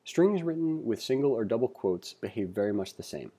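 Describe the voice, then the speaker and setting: A man giving a read-along tutorial as an instructor, reading aloud and adding informal asides as he thinks out loud. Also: medium pitch, big pitch swings, a little fast, slightly rough. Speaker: programming instructor. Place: indoors, screen-recording voice-over.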